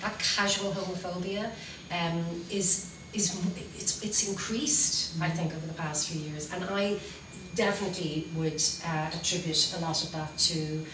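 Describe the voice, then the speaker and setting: A woman's voice: lowish voice, steady tone, a little slow, few pauses. A woman in her forties with an Irish accent, earnestly speaking on a panel about youth work. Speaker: panellist, youth service worker. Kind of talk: panel discussion. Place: meeting room, seated at a panel table. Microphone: table mic on a stand, recorded from a distance. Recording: roomy, distant, with background noise.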